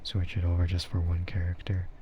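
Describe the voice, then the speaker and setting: A man chatting softly in a casual ASMR stream, often slipping toward a whisper, with a rambling, hesitant delivery. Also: low voice, completely flat delivery, fairly quick, dull audio, a little hiss or hum. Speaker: VTuber streamer. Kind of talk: soft ASMR casual chat.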